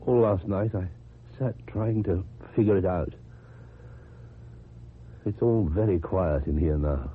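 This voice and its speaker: An actor playing an anguished, haunted man in a radio drama, with a halting, faltering delivery. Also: low-pitched, slightly rough, full character voice. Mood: weary, haunted. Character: anguished, haunted man unravelling in grief and dread. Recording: muffled, tinny old radio recording.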